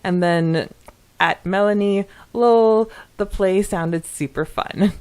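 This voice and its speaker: A woman's voice: medium pitch, takes it slowly, a little mellow. A woman co-hosting a podcast, giggly, reading out listener comments in a casual chatty style. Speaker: podcast co-host.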